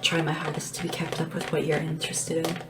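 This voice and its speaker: A woman playing a small, devoted, gentle assistant to a dragon monarch in a soft-spoken, often whispered ASMR roleplay, unhurried and deferential. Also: low voice, little pitch movement, lightly acted. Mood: soothing, chatty. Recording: clearly noisy lo-fi ASMR recording. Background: tapping and background hiss.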